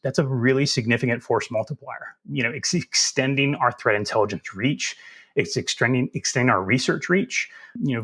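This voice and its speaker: A man with a Southern US accent, answering interview questions in a relaxed, folksy and self-deprecating way. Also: mid-range voice. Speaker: security instructor as guest.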